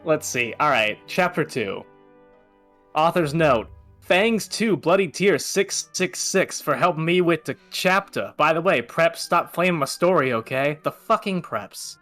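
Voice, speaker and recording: A man's voice: a little high, animated, unhurried, sharp tone, soft background music. A man reading fan fiction aloud casually, breaking in with amused, sarcastic asides.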